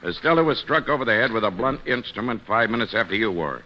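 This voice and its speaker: An actor playing a gruff, brisk, sarcastic police detective in an old-time radio mystery. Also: male voice, on the low side, lively intonation, lightly acted. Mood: sardonic. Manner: brusque, commanding. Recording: muffled lo-fi old radio recording.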